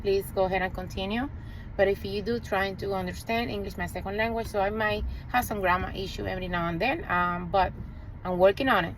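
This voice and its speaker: A woman's voice: medium pitch, a little hiss or hum, rough recording. A Spanish-speaking woman with a Spanish accent, speaking English as a realtor in an earnest vlog, often correcting herself and talking straight to the viewer. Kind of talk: direct-to-camera vlog.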